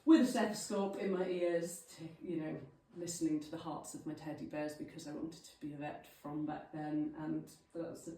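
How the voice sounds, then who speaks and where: A woman's voice: low voice, steady tone, normal speed, hardly any pauses, mellow. A Welsh woman in her thirties, a native Welsh speaker, giving an informal, chatty, self-deprecating talk to a local group. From Wales. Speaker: guest speaker. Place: village hall. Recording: echoey hall, mic at a distance.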